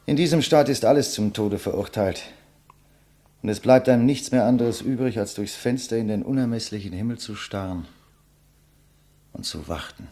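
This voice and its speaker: An actor playing a condemned writer, composed and bitterly ironic, in a measured, eloquent 1950s German radio play style. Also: male voice, on the low side, warm tone, close to an everyday voice. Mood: bitter irony.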